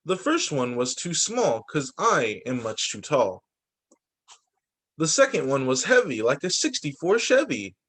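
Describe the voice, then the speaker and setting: A man's voice: a little high, big pitch swings, measured pace, a bit bright, breathy edge, heavily acted. A man voicing an upbeat, hopeful young boy in a children's storybook, speaking in sing-song rhyme. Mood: excited, hopeful.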